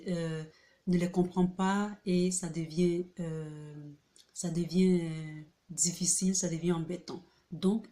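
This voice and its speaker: Woman doing a chill how-to video, slow and low-ish, lots of uhs and restarts. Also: darkish tone. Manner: hesitant, many filler pauses and restarts; repeats key points, checks in with "ok?". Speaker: video host presenting a pronunciation book. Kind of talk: casual spoken tutorial to camera.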